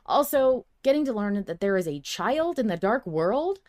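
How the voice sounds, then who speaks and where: A woman co-hosting a fan podcast, chatting casually and reading out emails in an animated, emphatic way. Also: on the high side, moderate pace. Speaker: podcast co-host.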